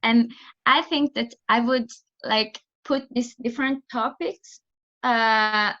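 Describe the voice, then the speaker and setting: A woman's voice: on the high side, fairly even intonation, slow pace, a bit bright, lo-fi sound. A woman with an Italian accent and Italian as her first language. She answers interview questions thoughtfully as a theatre director, often restarting and searching for words. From Italy. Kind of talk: interview answers on a remote call.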